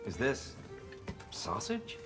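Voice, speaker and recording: A man playing a calm, courteous and wise exiled god in a video game, speaking in a measured, formal way. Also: mid-range voice, over music. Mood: mild.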